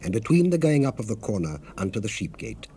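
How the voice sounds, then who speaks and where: On a faintly hissy 1970s cassette, an earnest male leader of scripture. His deep, dark-toned voice moves dramatically up and down as he narrates his people's struggle with solemn, oratorical devotion. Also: very animated, fast talker. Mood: solemn, measured.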